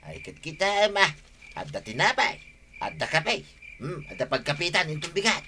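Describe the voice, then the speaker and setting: A man's voice: on the high side, big pitch swings, normal speed, background noise. An actor playing a sly, boastful married man in a Filipino radio drama in Ilocano, with a cocky, chuckling delivery. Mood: teasing, smug.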